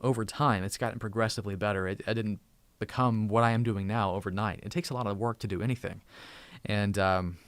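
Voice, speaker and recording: A man in his thirties chats with his viewers on a live stream from home, upbeat and thankful, often tripping over and repeating words. His voice sits fairly low and sounds dry and close to the mic. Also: fairly even intonation, a little fast, no echo. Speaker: tech channel host. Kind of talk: casual live stream chat. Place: home room.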